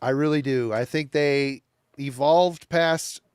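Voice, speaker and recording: Emphatic man, somewhat high voice. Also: slow, smooth, no echo, clean studio sound. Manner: emphatic, repeats himself, swears casually. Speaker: podcast co-host. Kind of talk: casual chat about metal albums.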